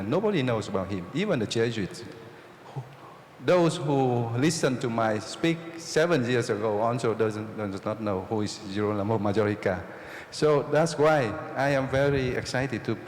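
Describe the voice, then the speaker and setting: A Jesuit priest from Vietnam with a Vietnamese accent lectures a live audience, using simple, repeated phrases and often checking in with them. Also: male voice, mid-range voice. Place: oratory hall with a live audience. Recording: roomy hall reverb, faint noise.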